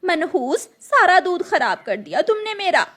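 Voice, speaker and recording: A woman voices a scheming cartoon crow wife with a haughty, scolding manner. The voice is very high and highly animated, full of bossy greed. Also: a little slow, a little hiss or hum, exaggerated voice. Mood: angry scolding.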